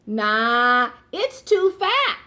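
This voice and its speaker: A woman's voice: on the high side, sing-song, drawn-out words, pushed and bright, full character voice. A woman voicing an opinionated, friendly male beaver from a storybook in an emphatic, matter-of-fact way. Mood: dismissive.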